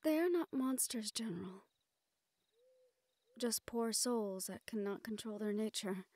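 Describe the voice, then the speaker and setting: A woman playing a gentle, playfully reproving fantasy sweetheart in a romantic audio roleplay, speaking tenderly in formal phrases. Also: fairly high pitch, animated, unhurried, dry recording. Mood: soft, earnest. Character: gentle, playfully scolding fantasy woman courting a dragon general.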